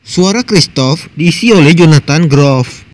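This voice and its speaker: A man's voice: a little high, expressive, normal speed, heavy background noise. A man with an Indonesian accent reading scripted narration for a video.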